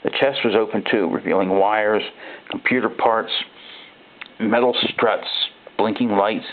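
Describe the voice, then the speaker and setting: A man voicing a frightened ordinary guy telling his own horror story, halting and rattled, with a gravelly voice. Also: on the low side, steady tone. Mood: shaken, hushed. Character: frightened ordinary man recounting robot replacements. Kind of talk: first-person dramatic horror narration. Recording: thin, phone-like narrow-band sound.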